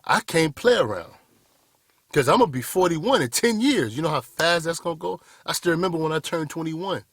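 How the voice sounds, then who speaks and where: A man's voice: a little high, lively intonation, fairly quick, husky, no echo, clean studio sound. Blunt preachy man in his thirties. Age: 30s. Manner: blunt, preachy, addresses viewers as "y'all boys". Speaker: motivational speaker. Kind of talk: direct-to-camera motivational talk. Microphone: camera mic, speaking to camera.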